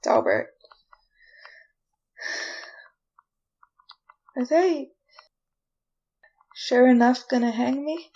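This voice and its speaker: A woman acting a frightened condemned prisoner in a retro radio drama, pleading innocence in a halting, folksy way. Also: high-pitched, mellow, lo-fi sound, heavily acted. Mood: anxious pleading.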